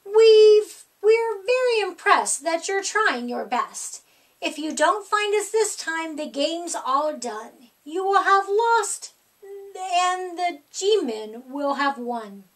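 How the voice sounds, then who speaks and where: A woman performs a cheeky gingerbread cookie from a storybook, bragging in teasing rhyme. The voice is very high and sing-song, swooping up and down and drawing words out slowly. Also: sing-song, exaggerated voice.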